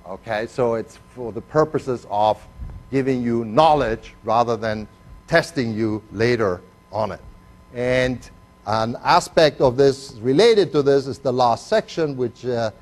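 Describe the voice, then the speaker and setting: An older man lecturing on chemistry with a blended foreign accent, his first language being Spanish. He rambles through asides with plenty of "uh" pauses and a wry, self-mocking humor. His pitch sits at an ordinary middle level for a man. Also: darkish tone, soft background music. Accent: mixed foreign accent, Spanish first language. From Argentina. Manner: digressive and self-deprecating, with frequent "uh" pauses. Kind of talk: university lecture.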